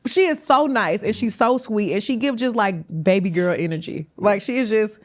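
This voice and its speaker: A woman's voice: mid-range voice, expressive, normal speed. Young Houston woman with a thick Texas drawl, loose and candid, laughs often, curses freely, guest on a talk show. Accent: Southern US, Houston Texas. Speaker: guest, rapper. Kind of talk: casual interview chat.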